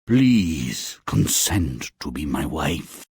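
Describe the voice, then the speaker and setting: A very deep, slow, mournful beast. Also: male voice, big pitch swings, a little mellow, breathy edge, no echo, full character voice. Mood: pleading. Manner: solemn, theatrical, formal. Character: mournful, menacing male monster, lovesick man-eating beast. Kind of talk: video game fairy-tale cutscene acting.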